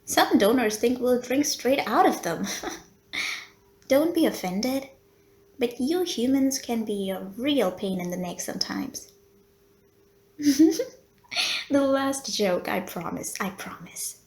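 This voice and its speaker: A woman's voice: fairly high pitch, lively intonation, takes it slowly, long pauses, echoey room. A woman playing a polite, teasing female vampire who runs a blood bank, in an audio roleplay spoken to the listener. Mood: playful, flirty. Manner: genteel, courteous, teasing. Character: polite, flirty female vampire running a blood bank, playful and long-suffering. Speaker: medical center receptionist.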